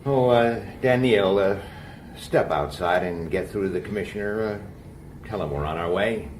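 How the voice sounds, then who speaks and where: Gruff weary detective, low-voiced. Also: male voice, a little slow, a little mellow. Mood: confrontational. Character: gruff, impatient, world-weary male police detective. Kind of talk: radio drama acting. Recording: very noisy old radio drama recording.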